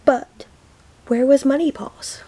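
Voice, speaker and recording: Woman, storybook read-aloud for small kids; slightly high voice, unhurried, deliberate delivery. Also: a little hiss or hum. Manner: slow, deliberate, expressive phrasing for young children. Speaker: teacher reading a children's picture book aloud.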